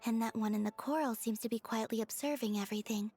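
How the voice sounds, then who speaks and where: A woman plays a gentle young woman in a fantasy video game. The voice is high, serene and dreamy, with a sense of quiet wonder. Soft music sits behind it. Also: fast talker, natural voice.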